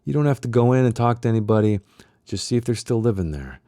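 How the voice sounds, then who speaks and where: A man reading a novel aloud as a secretive, troubled man in hiding, low-key and guarded as he asks favors. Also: lively intonation, fast, warm tone, no room sound, studio quality, natural voice. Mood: quietly earnest.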